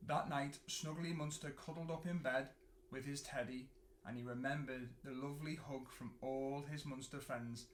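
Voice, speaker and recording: A man reading a picture book to children in an expressive storytelling style, with dramatic pauses and drawn-out words. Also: medium pitch. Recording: roomy echo, clear background noise.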